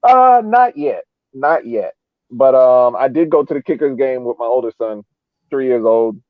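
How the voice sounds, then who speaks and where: A man gives casual sports analysis as a podcast panelist. His mid-pitched voice comes through thin and band-limited, like a radio, with no echo around it. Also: lively intonation, moderate pace. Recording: muffled narrow-band radio-like sound.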